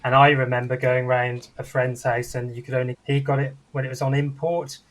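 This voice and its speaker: A middle-aged man with a flat, even delivery at a medium pitch. He reminisces in a casual way as a co-host on a podcast. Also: a little fast, rough recording.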